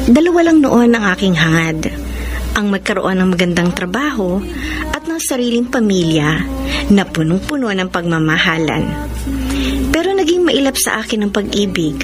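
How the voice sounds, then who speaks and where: A woman's voice: medium pitch, close to an everyday voice. An actress playing an earnest, anxious young woman in a radio drama, polite and heartfelt. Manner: earnest, polite to her mother. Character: anxious young woman, college graduate, engaged to older widower. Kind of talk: radio drama acting, heartfelt letter narration. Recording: radio drama with prominent music bed.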